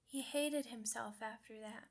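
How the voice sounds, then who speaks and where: Woman voicing a sad, slow-talking young werewolf girl. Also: fairly high pitch. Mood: wistful storytelling. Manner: hesitant, childlike simple phrasing. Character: sad young female werewolf pup, orphaned and earnest. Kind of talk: ASMR roleplay monologue.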